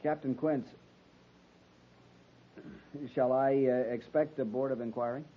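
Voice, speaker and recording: A man's voice: mid-range voice, steady tone, a little slow, lightly acted. A man in a 1950s radio drama playing an earnest, anxious young cavalry lieutenant, formal and deferential. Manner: formal, deferential military address. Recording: dull band-limited 1950s radio recording.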